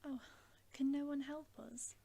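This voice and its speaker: A woman's voice: a little high, steady tone, unhurried, mellow, husky. Woman whispering as an anxious little storybook girl. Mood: wailing. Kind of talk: whispered ASMR bedtime story reading.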